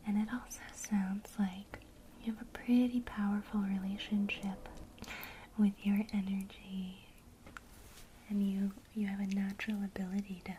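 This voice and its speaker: Woman, ASMR whisper, warm and mellow, teaching magic, soft encouragement, faint noise. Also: mid-range voice, rough recording. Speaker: witchcraft teacher.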